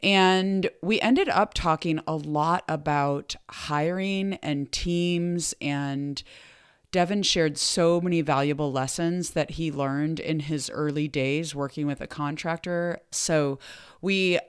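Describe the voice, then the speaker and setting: A woman in her forties hosting a podcast, upbeat and chatty, her voice still hoarse from being sick. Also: deep voice, slow pace, no echo, clean studio sound. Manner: upbeat, chatty, self-promoting. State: hoarse after illness. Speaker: podcast host. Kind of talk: podcast intro and promo.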